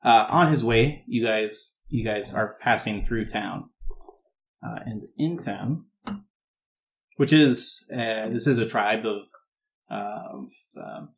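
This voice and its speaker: A man with a fairly low voice runs a tabletop role-playing game. He improvises his narration conversationally, explaining the world to his players in an informal way. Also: slow, creaky, long pauses, rough recording. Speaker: game master.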